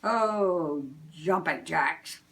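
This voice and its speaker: A woman's voice: low-pitched, very slow, a bit bright, exaggerated voice. A woman voicing Santa Claus as a jolly, busy old man with a wistful streak, in a storybook reading. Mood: grumbling.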